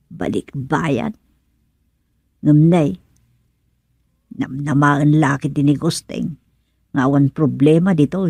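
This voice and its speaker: A woman's voice: deep voice, mellow, slightly rough, no echo, full character voice. A woman acting a chatty village wife in a radio comedy serial. She talks persuasively in an Ilocano accent, full of exclamations, recorded close and dry.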